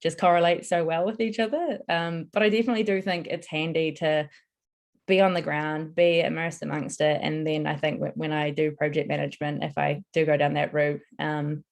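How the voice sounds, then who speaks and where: A woman's voice: low-pitched, level tone, a little fast, gravelly, darkish tone. A young woman answering interview questions in a casual, earnest and enthusiastic way. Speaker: interviewee, construction apprentice.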